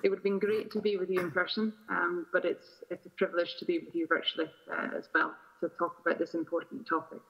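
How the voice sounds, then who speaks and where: A woman's voice: on the low side, flat delivery, quick pace, few pauses. A woman with a Shetland Scottish accent, giving a conversational address as a conference panelist. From Shetland Islands, Scotland. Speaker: energy sector representative, panel speaker. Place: remote call into a conference. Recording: dull lo-fi video call audio.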